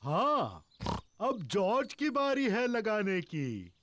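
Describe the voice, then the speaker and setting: A man voicing a kindly old grandfather pig in a children's cartoon dub, with a gentle, drawn-out delivery. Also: high voice, wildly swooping pitch, full character voice.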